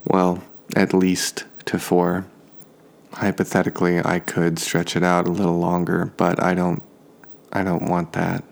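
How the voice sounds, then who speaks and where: A tired man hosting a sleep podcast, speaking softly in an unhurried, relaxed and understated ramble. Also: sits low, gravelly. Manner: slow, deliberately relaxed, understated. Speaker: podcast host. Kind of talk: soft-spoken rambling sleep podcast monologue.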